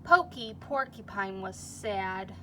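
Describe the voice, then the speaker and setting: A woman's voice: mid-range voice, slow pace, pushed and bright, a little hiss or hum. A woman reading a children's storybook aloud like a teacher, with simple explanations and questions for young listeners.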